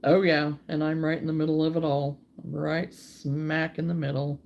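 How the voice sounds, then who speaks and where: Hoarse, gravelly-voiced woman chatting. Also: low-pitched, normal speed, lo-fi sound, a little hiss or hum. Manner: relaxed and chatty, mutters to herself while working. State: hoarse, froggy voice from a recent cold. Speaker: host of a crochet live stream. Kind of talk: casual chat while crocheting, answering viewers.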